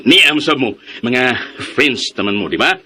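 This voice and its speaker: An actor in a radio drama playing a smug, pushy, jealous man who teases and scoffs in a theatrical, drawn-out way. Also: medium pitch, lively intonation, pushed and bright, slightly rough, full character voice. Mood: awkward, flustered.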